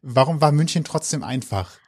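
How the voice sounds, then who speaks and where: A man's voice: on the high side, animated, moderate pace, breathy edge, dry recording, clean studio sound. A man hosting an interview podcast, asking in a thoughtful, hesitant way with fillers. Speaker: podcast host. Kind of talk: casual interview chat.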